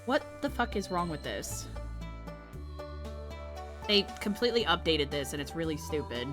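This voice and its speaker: A woman's voice: sits low, sing-song, moderate pace, music in the background. A woman streamer whose first language is Vietnamese, chatting casually while she plays, rambling, swearing and putting herself down. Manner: profane, rambling, self-deprecating. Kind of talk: casual gaming stream chat.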